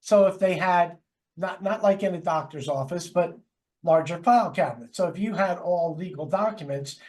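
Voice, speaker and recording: A man's voice: high voice, normal speed, a little mellow. New Jersey man with a New York accent. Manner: rambling, hesitant with fillers. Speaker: podcast co-host. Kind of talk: casual chat.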